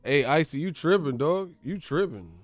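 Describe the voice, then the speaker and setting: A man's voice: on the high side, expressive, moderate pace, dry recording. A man hosting a boxing channel who rants in a slangy, profane way, laughing and mocking as he talks. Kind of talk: profane comedic vlog rant.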